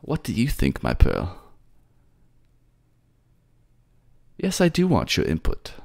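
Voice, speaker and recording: A man acts out a suave male prince of a sea people, his voice lifted and creaky. He speaks in a courtly, old-fashioned way, gently mocking and sardonic, as in a fantasy audio drama. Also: sits low, wildly swooping pitch, moderate pace, pitched above the performer's own voice, full character voice. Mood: playful, doting.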